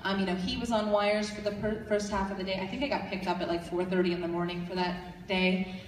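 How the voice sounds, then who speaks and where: Woman, low voice, rambling with fillers, convention hall, distant mic, long reverb. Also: little pitch movement, brisk, a little hiss or hum. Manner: rambling, many fillers and restarts. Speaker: convention panel guest. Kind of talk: casual panel Q&A answers.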